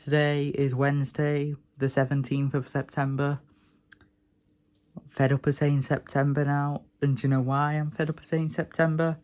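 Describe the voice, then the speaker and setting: Croaky young man ranting. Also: a little high, flat delivery, moderate pace, a little mellow. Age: young adult. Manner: rambling, chatty, grumbling. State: hoarse with a sore throat. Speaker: vlogger. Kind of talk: casual vlog rant.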